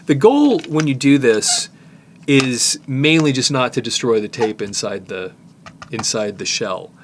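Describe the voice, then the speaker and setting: A man's voice: medium pitch, measured pace, slight background noise. A middle-aged man showing a cassette repair in his workshop, thinking aloud as he works, with restarts and asides. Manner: relaxed, thinking aloud with restarts while working. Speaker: tape repair technician. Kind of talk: hands-on repair demonstration.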